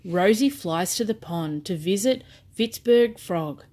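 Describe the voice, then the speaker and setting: A woman narrating children's storybooks at bedtime, with simple, deliberate read-aloud phrasing for small children. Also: medium pitch, lively intonation, mellow.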